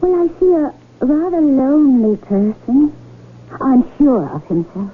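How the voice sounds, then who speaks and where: A muffled seventies radio drama recording with faint hiss. a soft-hearted young schemer with a fairly high voice, wistful and reflective. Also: female voice, sing-song, unhurried. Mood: gentle, poised. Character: wistful young casino dealer posing as princess. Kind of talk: 1970s radio drama acting. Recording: muffled, hissy 1970s radio broadcast recording.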